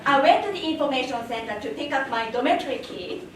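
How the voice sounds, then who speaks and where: A woman's voice: high-pitched, lively intonation, fast talker. A woman from Japan, telling a playful story on stage, laughing often. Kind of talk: live theatrical monologue. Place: theater stage with audience. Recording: echoey theater from far off, audience noise.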